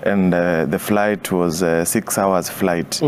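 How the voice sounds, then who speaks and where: Deep-voiced Kenyan man, hesitant. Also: fairly even intonation, slow, a little hiss or hum. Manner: hesitant, many uh fillers, soft laughs. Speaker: guest. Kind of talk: conversational interview.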